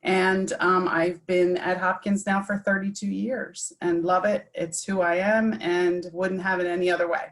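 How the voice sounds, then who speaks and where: A woman nurse manager, measured and plain-spoken, introducing herself. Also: on the low side, flat delivery. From Baltimore. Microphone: video call.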